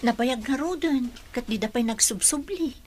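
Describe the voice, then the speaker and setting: A woman's voice: on the high side, normal speed, a little mellow, natural voice. An actress with an Ilocano accent playing a caring young female confidante in a radio drama. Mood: concerned, consoling.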